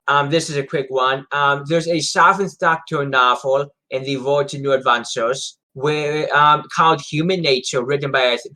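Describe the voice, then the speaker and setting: Male co-host, fairly high register, hesitant and repetitive, for casual fan discussion. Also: bright, forceful tone. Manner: hesitant, rambling, repeats himself. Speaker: co-host of a Doctor Who discussion show.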